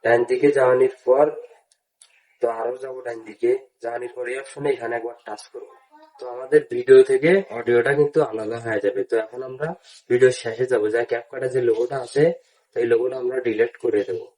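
A man's voice: mid-range voice, steady tone, fast talker, darkish tone. A man hosting a casual step-by-step app tutorial, with repetitive, instructional phrasing. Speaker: tutorial host.

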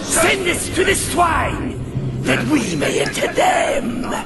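A man voicing pleading demons in a dramatized audio Bible, with drawn-out frantic shouts. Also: very high voice, sing-song, full character voice. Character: horde of shrieking demons begging. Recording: loud music under voice.

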